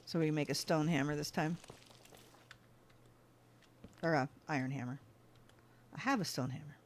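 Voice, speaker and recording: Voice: mid-range voice, moderate pace, a little hiss or hum. Chatty let's-play host thinking aloud. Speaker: gaming channel host. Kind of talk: casual let's-play commentary.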